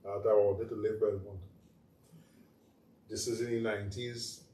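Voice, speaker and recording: A man's voice: fairly low pitch, level tone, measured pace, warm tone. A middle-aged man with a Trinidadian accent, chatting as host in a loose, reflective, repetitive way. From Trinidad and Tobago. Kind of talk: casual chat. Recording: roomy sound, mic at a distance, faint noise.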